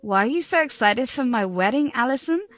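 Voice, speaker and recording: A spoiled young bride snaps at the people who raised her, her voice pitched a little high and edged with scorn, as a woman puts her on. Soft music plays faintly underneath. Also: expressive, brisk, dry recording, lightly acted. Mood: dismissive. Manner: scornful, sharp.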